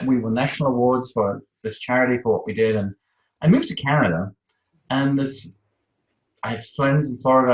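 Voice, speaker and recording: A man with a British accent telling personal stories conversationally as an interview guest. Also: mid-range voice. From Isle of Man, UK. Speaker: interview guest, social media expert. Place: online video call in a room. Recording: roomy, echoey video-call sound.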